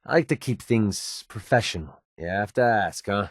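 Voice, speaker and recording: Wry mercenary companion, very dry recording. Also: male voice, lowish voice, animated, moderate pace, breathy edge, no echo. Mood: annoyed. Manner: casual, wry, colloquial delivery. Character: sardonic, loyal young male mercenary companion. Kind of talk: video game voice acting.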